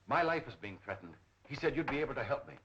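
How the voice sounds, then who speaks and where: A man's voice: medium pitch, animated, fast, fairly bright tone. Nervous businessman, 1930s film: agitated, clipped, swinging intonation, muffled soundtrack with background noise. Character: anxious, hurried businessman who fears for his life.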